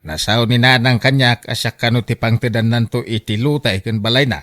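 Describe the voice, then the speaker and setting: A man's voice: medium pitch, fairly even intonation, brisk, a bit bright, natural voice. A man playing a pushy, argumentative youngest son in a radio drama, with an Ilocano accent. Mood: insistent. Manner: argumentative, insistent. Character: pushy youngest son squabbling with his sister over inheritance.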